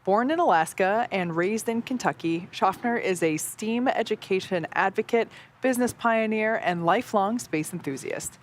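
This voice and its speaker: A woman's voice: mid-range voice, moderate pace, slight background noise. Chatty woman co-hosting a live broadcast. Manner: friendly, explanatory, with fillers. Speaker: broadcast co-host.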